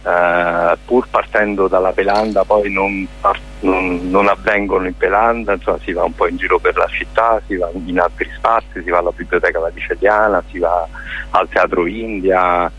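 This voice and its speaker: Man, deep pitch, husky tone, discursive, hesitant phrasing, radio interview guest. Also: steady tone, moderate pace, a bit bright, rough recording. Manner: hesitant, discursive, searching for words with frequent verbal hedges. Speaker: festival director being interviewed.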